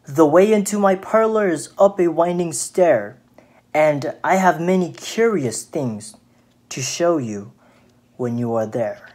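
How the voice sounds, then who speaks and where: A woman voicing a sly, flattering male spider for a children's storybook, with slow, honeyed, drawn-out coaxing. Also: sits low, exaggerated voice. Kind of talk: children's storybook read aloud.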